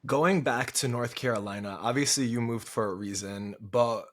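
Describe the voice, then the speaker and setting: A man's voice: mid-range voice, normal speed. Easygoing male podcast host. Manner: encouraging, asks long rambling questions. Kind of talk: casual interview.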